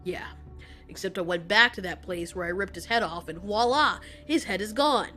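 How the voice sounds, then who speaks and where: A woman voicing a callous male giant reptilian monster in an audio drama, deadpan, dismissive and sarcastic. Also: mid-range voice, normal speed, soft background music, natural voice. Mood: matter-of-fact. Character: cocky, callous male giant reptilian kaiju, coolly menacing.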